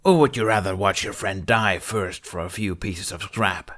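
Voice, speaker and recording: A man voicing a huge, cruel alien crime-boss matriarch in a slow, guttural, menacing growl for a story reading. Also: fairly bright tone. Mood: gloating, menacing. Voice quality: guttural, husky bass growl.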